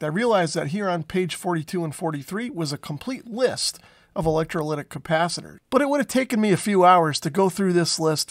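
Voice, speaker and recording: Guy doing a step by step repair how-to, voice a bit on the high side, plain and methodical. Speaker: host of a radio repair tutorial. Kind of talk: hands-on how-to narration.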